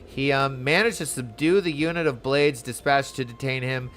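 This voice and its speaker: A man's voice: mid-range voice, moderate pace, fairly bright tone, lightly acted. A man reading aloud a formal, deferential guard officer from a fantasy game. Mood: apologetic.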